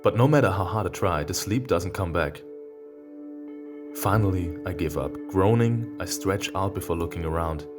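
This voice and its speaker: A man's voice: low voice, normal speed. A man who is not a native English speaker, reading a visual novel aloud as a let's player and breaking off for sweary, sarcastic commentary. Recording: background music behind the voice. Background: game music.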